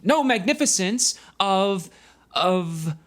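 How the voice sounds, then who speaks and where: A man's voice: sits high, slow, slight background noise. An actor playing an eager, cocky young male magician in a theatrical musical audio drama. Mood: nervous. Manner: theatrical, animated.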